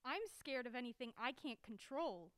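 A woman's voice: on the high side, expressive, normal speed, fairly bright tone, clear, resonant tone. A woman playing an anxious, mild-mannered character in an audio drama, skeptical and easily exasperated. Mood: wary, reluctant. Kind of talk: naturalistic audio drama acting.

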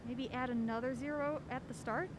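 A woman's voice: high-pitched, steady tone, normal speed, background noise, close to an everyday voice. A woman playing a wry, wary amateur sleuth in a naturalistic audio drama, with a dry, questioning manner. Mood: curious, urgent. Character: wry, wary young woman on a mystery hunt.

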